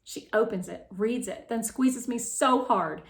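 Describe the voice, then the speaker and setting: A woman reading a children's novel aloud expressively, with deliberate phrasing. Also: on the high side, roomy sound. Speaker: read-aloud reader.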